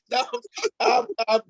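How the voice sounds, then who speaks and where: A man's voice: no room sound, low-quality recording. A man, an actor, talking casually in a panel conversation, emphatic and repeating himself to drive points home.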